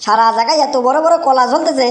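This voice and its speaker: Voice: sits high, fast, lightly acted. A performer voicing a whiny, greedy young village man in a comic cartoon, exclaiming and repeating in a dialect. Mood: grumbling, scheming. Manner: exclamatory, whining, repetitive. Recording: roomy echo, faint background noise.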